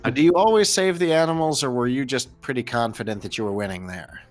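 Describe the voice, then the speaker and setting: A man's voice: medium pitch, fast talker, soft background music. An American man commentating and interviewing on a live gaming race in a casual, chatty, jokey way. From United States. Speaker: host and commentator.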